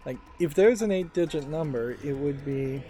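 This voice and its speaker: Let's play host: relaxed, warm timbre, musing casual delivery, game music in the background. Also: mid-range voice, normal speed. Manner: musing, thinking aloud.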